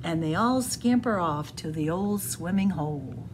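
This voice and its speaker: A woman reading a picture book aloud in an animated, deliberate, sing-song storytelling style. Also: on the low side, slight background noise. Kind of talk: expressive children's read-aloud storytelling. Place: indoors, room with bookshelves.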